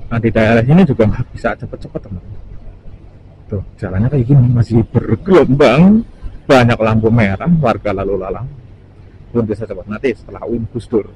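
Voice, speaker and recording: Low-voiced man vlogging over vehicle noise. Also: brisk, mellow, poor audio. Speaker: motovlogger. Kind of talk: casual riding commentary to viewers. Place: outdoors, riding a motorcycle on a highway. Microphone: helmet-mounted mic. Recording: clear engine and traffic noise.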